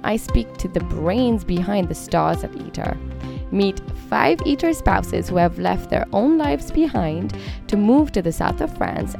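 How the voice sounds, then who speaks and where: Woman podcast host with a gravelly, creaky edge, upbeat and scripted; music plays behind her. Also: on the low side. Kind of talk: scripted intro and interview questions.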